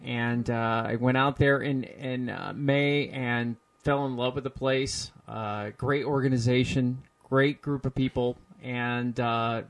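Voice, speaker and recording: A man's voice: mid-range voice, steady tone, slow pace, hardly any pauses. A man who hosts a radio morning show, chatting with an easygoing, joking manner as a guest in a gym. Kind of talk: casual interview chat. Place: gym, indoors.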